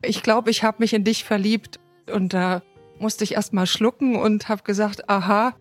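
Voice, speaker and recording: Fifties woman from thuringia, thoughtful storytelling, mid voice, quiet music under it. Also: medium pitch, steady tone, normal speed. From Thuringia, Germany. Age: 50s. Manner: reflective and thoughtful. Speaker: interviewee. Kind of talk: personal storytelling.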